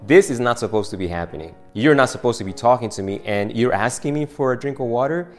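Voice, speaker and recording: A man's voice: medium pitch, lively intonation, quick pace, soft background music, natural voice. A man voicing a wary, hesitant woman at a well. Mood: startled. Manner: hesitant, blurting, talking to herself. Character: wary, guarded woman fetching water, adult.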